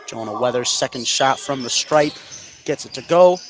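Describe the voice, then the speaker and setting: A man doing live radio play-by-play of a basketball game in short clipped phrases, getting excitable on big plays. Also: mid-range voice, moderate pace. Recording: clear gym noise behind the voice. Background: basketball game ambience, bouncing balls.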